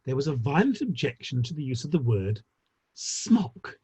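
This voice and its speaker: Man playing arch Victorian gentleman, husky, very animated. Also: mid-range voice, normal speed, no room sound. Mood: wry, amused. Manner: arch, dry wit, formal phrasing. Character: wry, gossipy Victorian theatrical gentleman, amused and worldly. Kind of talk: online dramatic play reading.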